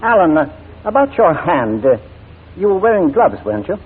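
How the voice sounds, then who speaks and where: Genial old General, lively, tinny recording. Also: male voice, high-pitched, moderate pace, slightly rough, full character voice. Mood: apologetic. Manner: avuncular, hesitant, courteous. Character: genial, apologetic older General, flustered police chief. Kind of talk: 1940s radio acting. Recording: scratchy, narrow-band 1940s radio recording.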